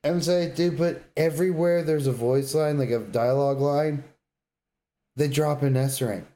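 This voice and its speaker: A man's voice: fairly high pitch, steady tone, measured pace, dark tone. A man co-hosting a podcast, talking casually about games in an effusive, slangy way. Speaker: podcast co-host. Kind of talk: casual gaming chat.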